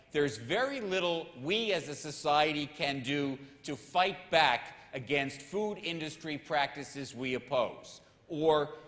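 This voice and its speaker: A man in his sixties presenting research findings from a lectern on a large stage, in a measured, clear explanatory manner. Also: a little high, a bit bright. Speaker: research center director giving a talk. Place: large stage in a dark auditorium. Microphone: lectern microphones. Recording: hall reverb from a big auditorium.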